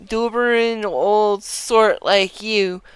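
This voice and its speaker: A boastful, sly old rival from a fantasy game, performed by a woman, who taunts in rustic dialect. The delivery is slow and mocking, leaning hard on words in a clear voice. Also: medium pitch, fairly even intonation. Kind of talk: reading game dialogue aloud in character.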